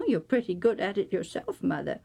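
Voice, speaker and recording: A woman's voice: medium pitch, expressive, a little fast, creaky, mellow, slightly rough, exaggerated voice. A woman storyteller voicing an earnest, questioning and calculating teenage son in a Bible story. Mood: curious.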